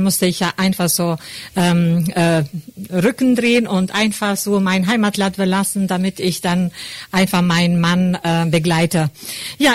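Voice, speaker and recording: A middle-aged woman from Kosovo, once a teacher, telling her story with many pauses and ums. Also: medium pitch, no echo. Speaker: interviewee, former teacher. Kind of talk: personal account in an interview.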